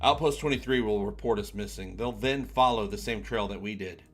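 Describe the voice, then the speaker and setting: Man playing a calm, practical starship officer. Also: fairly low pitch, a little fast, husky, pitched below the performer's own voice. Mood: serious, analytical. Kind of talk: read-along character voice.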